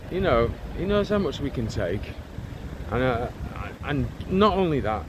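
A man in his forties from England preaches and prays out loud as he walks along a street, his phone held at arm's length. He speaks at an ordinary pitch, fervent and rambling, repeating and restarting his words. Street traffic sounds clearly behind him. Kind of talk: walk-and-talk prayer and preaching vlog. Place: residential street outdoors, walking. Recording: outdoor street noise with passing traffic.

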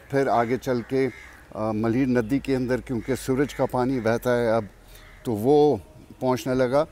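An older man with a Pakistani accent, speaking in an unhurried, reflective way as he gives an informal explanatory tour of his farm outdoors. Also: mid-range voice, warm tone. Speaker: farmer and vlog host. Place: outdoors beside a farm well. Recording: clear outdoor ambient noise, birdsong. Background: birds and outdoor farm ambience.

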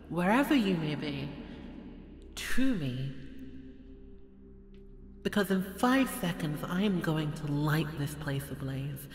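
A woman voicing a bored, volatile, haughty goddess in an ASMR audio roleplay, drawling and slipping into breathy murmurs. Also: lowish voice, a little hiss or hum. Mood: menacing. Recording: echoey hall reverb with music behind.

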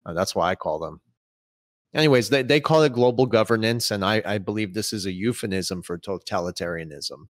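A man's voice: fairly low pitch, fairly quick, dry recording, studio quality. A man in his thirties from Saudi Arabia, hosting an interview over a video call with long, opinionated questions. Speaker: interviewer and host. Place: small home room, indoors.

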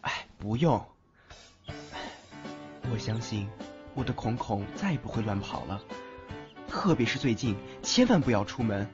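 A man's voice: lowish voice, very animated, unhurried, long pauses. A man playing an affectionate, boastful ghost keeper in an audio drama, with a playful, coaxing manner. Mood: tender scolding. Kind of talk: Chinese audio drama acting. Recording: dull lo-fi audio under music.

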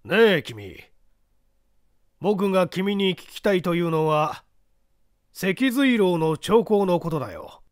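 A man voicing a smug, probing judge in an audiobook, deliberate and condescendingly genial as he questions a suspect. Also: high-pitched, big pitch swings, dry recording, clean studio sound, pitched above the performer's own voice, exaggerated voice. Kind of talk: dramatic audiobook character reading.

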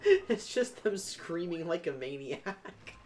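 Giggly young man, lively pitch. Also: takes it slowly, slight background noise. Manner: joking, giggly, animated. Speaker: co-host playing a video game. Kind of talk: casual gaming chat.

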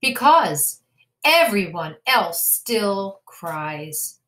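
Storybook little boy rabbit, acted by a woman: curious, childlike, slow-paced, swinging pitch. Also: sing-song, fairly bright tone, exaggerated voice. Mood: earnest, puzzled.

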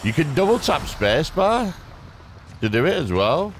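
A man hosting a gameplay video with casual, excitable, chatty reactions. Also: a little high, normal speed. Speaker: gamer, video host. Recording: clear background game noise.

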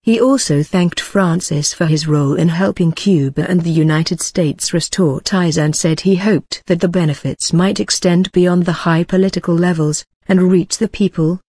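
A voice reading a news article aloud in an even, uniform way. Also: mid-range voice, moderate pace, few pauses, slightly rough, no room sound, studio quality. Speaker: news reader.

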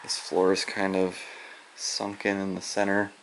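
Deep, slow, gravelly-voiced man exploring. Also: monotone, background noise. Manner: musing, low-key, trailing off into soft whispers. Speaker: abandoned farmhouse explorer. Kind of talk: casual walkthrough narration. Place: inside an abandoned farmhouse.

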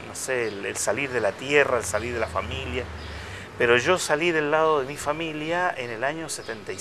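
Bright-voiced priest in his fifties. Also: mid-range voice, a little hiss or hum. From Chile. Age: 50s. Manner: unhurried, reflective, with many hesitations and restarts. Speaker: parish priest, guest. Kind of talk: casual interview conversation. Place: outdoor restaurant patio. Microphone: lapel mic.

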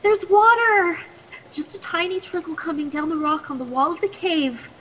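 A woman's voice: extremely high pitch, moderate pace, fairly bright tone. A woman playing a plucky, nervous, chatty teenage captive in a radio play, stammering politely in a reverberant recording. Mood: excited, desperate. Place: video call performance. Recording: long echoing reverb, faint noise.

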